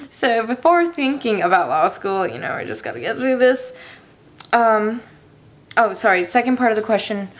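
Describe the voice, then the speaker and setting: A young woman with a raspy voice, answering viewer questions casually in her room, tired and hesitant with a self-deprecating manner. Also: mid-range voice, a little hiss or hum. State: tired with a headache. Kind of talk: casual Q&A chat.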